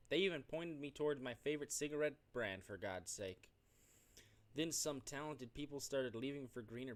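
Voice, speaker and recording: A man streaming a game, reading its narration aloud expressively, with occasional stumbles and self-corrections. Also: mid-range voice. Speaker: gaming streamer doing a playthrough. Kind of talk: reading visual novel narration aloud with off-the-cuff reactions.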